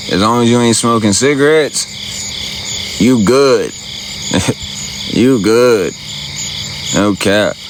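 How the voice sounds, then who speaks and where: A man's voice: on the low side, noisy background. A man chatting casually on a livestream, laid-back and rambling, answering viewers in slangy everyday talk. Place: outdoors at night by a campsite. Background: crickets and night insects.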